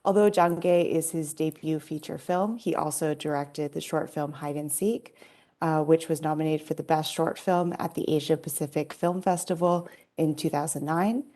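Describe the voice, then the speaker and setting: Low, mellow-voiced woman hosting. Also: steady tone, moderate pace. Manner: polite, formal, reads from notes. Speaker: host, research assistant. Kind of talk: scripted introduction and interview questions.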